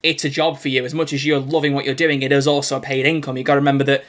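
A man's voice: mid-range voice, little pitch movement, rapid-fire, a bit bright. A young man hosting an interview in a casual, chatty style. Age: young adult. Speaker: interview host. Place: bedroom studio on a video call.

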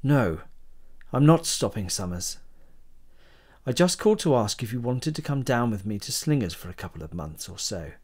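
A middle-aged Victorian gentleman sculptor for a period radio drama, played by a male actor. He is self-deprecating and a little hesitant, with old-fashioned manners. His pitch moves about freely as he talks. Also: sing-song, moderate pace. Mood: brisk, decided.

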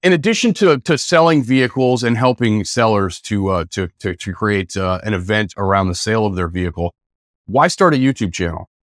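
A man's voice: lowish voice, brisk, no room sound, studio quality. A man in his forties hosting an interview over a video call, often repeating words as he starts his questions. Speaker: show host. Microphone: video call webcam.

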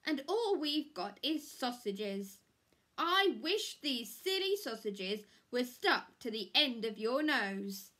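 A woman voicing a woodcutter's wife in a storybook, scolding but loving, emphatic and expressive. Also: a little high, takes it slowly, heavily acted.

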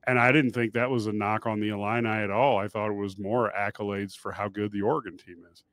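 A man co-hosting a sports podcast who talks in a candid, measured way, weighing the game fairly. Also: lowish voice, fairly even intonation, a bit bright, dry recording. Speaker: podcast co-host.

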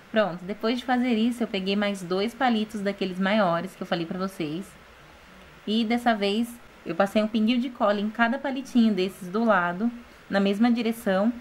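Brazilian woman in her twenties doing a patient step-by-step craft voice-over, mid-pitched, with a faint hiss behind her. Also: rough recording. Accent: Brazilian Portuguese. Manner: patient, explaining, often adds "gente" and "tá?". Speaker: DIY channel host.

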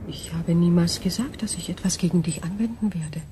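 A woman plays an ancient alien being in a sci-fi radio drama. The voice is fairly low and mellow, often hushed. It speaks with measured, detached formality over a faint bed of ambient sound. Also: slight background noise, close to an everyday voice. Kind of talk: German sci-fi radio play acting.

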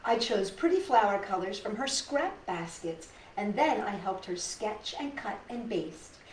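A middle-aged woman reads a children's story aloud with a lively, swooping delivery. She pauses for effect and slips in chatty asides. The sound is roomy and a little distant, with some noise behind. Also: medium pitch. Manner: animated, dramatic pauses, addresses young listeners. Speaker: storytime host reading picture books to children. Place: indoors in a living room.

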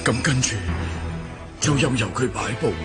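An actor with a Hong Kong Cantonese accent playing a weak, cowed captive martial artist in a radio drama, halting and fearful. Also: male voice, medium pitch, loud music behind the voice, lightly acted. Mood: feeble, frightened.

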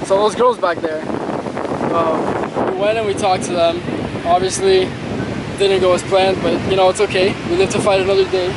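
A man in his twenties chatting casually and excitedly to the camera in slang, as a vlogger out with friends. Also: high-pitched, normal speed. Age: 20s. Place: outdoors at a beach and in a car. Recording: music over voice, windy outdoor camera audio.

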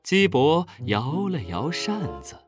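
A man's voice: a little high, big pitch swings, takes it slowly, music in the background. A man narrating a scripted children's story expressively.

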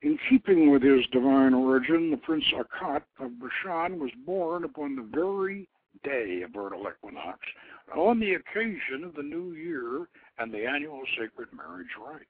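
A man's voice: on the high side, very animated, normal speed. A man reading a story aloud as a radio host, in a theatrical, formal storytelling style. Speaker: radio show host and author. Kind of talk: dramatic storytelling, reading his own novelette. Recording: muffled, thin phone-like radio line.

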